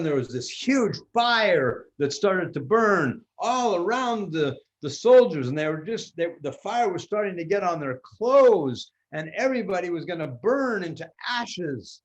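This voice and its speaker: An older man improvising a children's story like a grandpa, theatrical, with pauses and restarts. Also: a little high.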